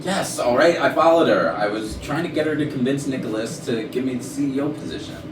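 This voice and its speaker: A man's voice: medium pitch, brisk, lightly acted. A man playing a defensive, smug corporate IT executive in a staged reading of a comic murder mystery. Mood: defensive, indignant. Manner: defensive, indignant. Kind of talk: staged reading of a comedy play. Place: echoey rehearsal room. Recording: roomy, distant, noisy rehearsal recording.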